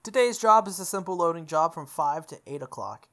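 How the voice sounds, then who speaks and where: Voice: mid-range voice, lively intonation, brisk, fairly bright tone. A person reading visual novel text aloud for a playthrough, stumbling over words and repeating phrases, with offhand asides. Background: music.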